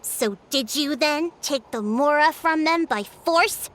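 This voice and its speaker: Very high-voiced young female chief. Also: a bit bright. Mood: stern, probing. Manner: articulate, measured, explanatory. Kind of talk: scripted video game dialogue. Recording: background game music.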